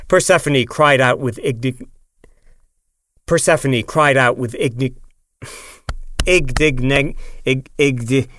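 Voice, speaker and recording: A man's voice: mid-range voice, slow, long pauses, fairly bright tone, no echo. A man reading scripted audiobook narration, going back over lines in retakes.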